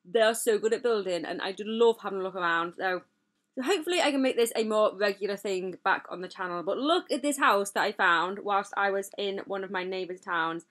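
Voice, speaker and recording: A woman's voice: medium pitch, brisk, a bit bright. A woman hosting a gaming channel, chatting casually and gushing over a building as she tours it, rambling with self-deprecating asides. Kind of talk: casual game tour commentary. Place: voice-over over gameplay footage.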